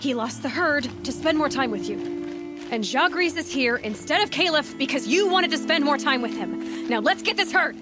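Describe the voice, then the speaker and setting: An actress playing a capable, impatient young heroine in a video game, direct and matter-of-fact. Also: female voice, high-pitched, lively intonation, moderate pace. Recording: game music behind the voice.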